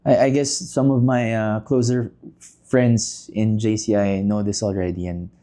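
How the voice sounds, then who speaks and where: A man, a podcast guest who talks through his answers with many pauses and self-deprecating asides. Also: fairly low pitch, fairly even intonation, mellow. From Philippines. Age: 30s. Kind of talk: casual interview chat.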